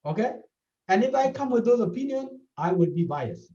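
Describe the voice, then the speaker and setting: A man's voice: sits high, very animated, normal speed, mellow. A man from South Sudan with a South Sudanese accent, speaking as a therapist in an interview and explaining his points earnestly, often repeating them. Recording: dull, echoey, from a distance.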